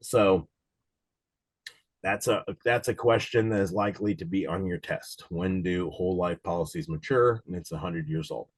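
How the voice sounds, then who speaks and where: A man with a slightly low voice, raspy from a lingering cold, teaches an exam prep class over a video call. He talks casually and rambles, restarting sentences and filling gaps with "um" and "uh". The sound is dry and close, with no room around it. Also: moderate pace, no echo. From Cincinnati, USA. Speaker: insurance exam instructor. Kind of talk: live online study session.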